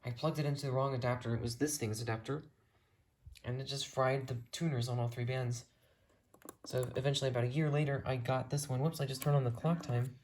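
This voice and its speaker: An American hobbyist with a mid-pitched voice, giving a casual, enthusiastic and detailed rambling tour of a collection as the video's host. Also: steady tone, a little fast, darkish tone, slight background noise.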